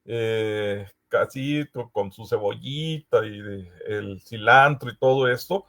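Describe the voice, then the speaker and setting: A man from Veracruz with a Mexican accent, moderating a session in a casual, hesitant way and pausing often as he searches for words. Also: lowish voice, fairly bright tone. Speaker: host of a history conference session. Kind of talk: casual moderating, reading audience comments aloud.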